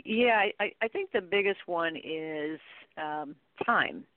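A woman's voice: on the low side, lively intonation, slow, no room sound. Middle-aged woman, expert interview guest. Conversational storyteller who acts out quotes, heard over a narrow, dry phone connection. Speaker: guest expert, training company chief executive.

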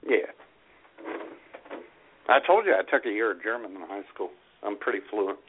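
Lively man on thin, phone-like audio. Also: on the low side, normal speed, a little hiss or hum. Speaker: comedy radio show co-host. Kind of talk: casual comedic banter. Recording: thin phone-like band-limited radio audio.

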